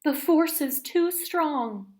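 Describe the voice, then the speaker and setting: Woman acting a high-pitched cheeky, indignant little girl. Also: animated, takes it slowly, a little mellow. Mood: helpless, whiny. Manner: exclamatory, protesting. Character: Bean, cheeky impulsive young girl, indignant and pleading. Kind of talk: children's storybook read-aloud character voice.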